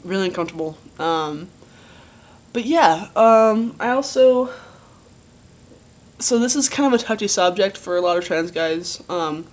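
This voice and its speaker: A young man with a relatively low voice giving a casual video diary update, rambling with many ums and likes. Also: expressive, a little slow. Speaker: vlogger.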